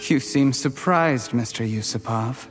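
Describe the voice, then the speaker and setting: An actor playing a bitter, calculating commoner in a video game, speaking in a deliberate, formally polite, articulate way. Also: male voice, medium pitch, over music, lightly acted. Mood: bitter, defiant. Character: bitter, calculating male commoner turned quiet traitor. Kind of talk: dramatic video game voice acting.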